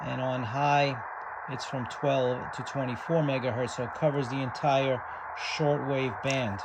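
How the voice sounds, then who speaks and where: A man giving a casual, unscripted hands-on product demo, halting and pausing as he goes. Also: medium pitch, steady tone. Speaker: radio hobby reviewer, video host. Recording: loud radio static and hiss behind. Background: shortwave radio noise.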